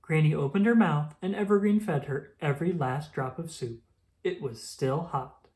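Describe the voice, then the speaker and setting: A woman reading a children's book aloud as an expressive storyteller. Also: low-pitched, normal speed, poor audio.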